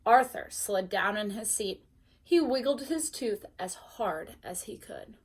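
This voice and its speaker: A woman teacher reading a storybook aloud expressively and pausing to put questions to her young listeners. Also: medium pitch, lively intonation, breathy edge. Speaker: teacher reading a bedtime story to first graders.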